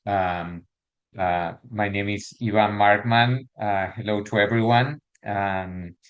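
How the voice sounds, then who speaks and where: A middle-aged man with an Argentine Spanish accent presents a workshop over a video call. His voice sits fairly low, and he talks in a halting way, restarting phrases and filling pauses with ums and uhs. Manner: hesitant, many fillers and restarts. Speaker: workshop speaker.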